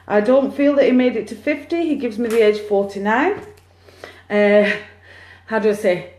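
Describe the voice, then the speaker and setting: A woman as a psychic medium, chatting excitedly through a live-streamed card reading on her phone. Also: a little high, moderate pace. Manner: chatty, excitable, cheering on share counts. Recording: echoey room, phone mic.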